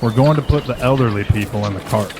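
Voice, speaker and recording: An actor playing a steady, determined man guiding a group, practical and earnest, in an audio drama. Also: mid-range voice, quick pace, noisy background, natural voice. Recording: music and birdsong behind.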